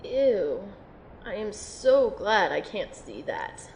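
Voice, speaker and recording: A woman's voice: very animated, slow, darkish tone. A woman playing a hesitant, reluctant young student of magic in an audio drama, speaking in a plain way. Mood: earnest. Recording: roomy amateur recording, faint rumbling noise.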